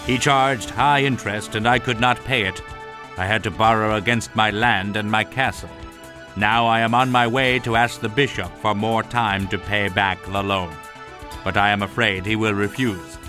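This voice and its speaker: A man voicing a downcast, grieving knight who speaks slowly and heavily, his tone changed from his narration. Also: on the low side, steady tone, sharp tone, soft background music. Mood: sad. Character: weary, downcast older male knight.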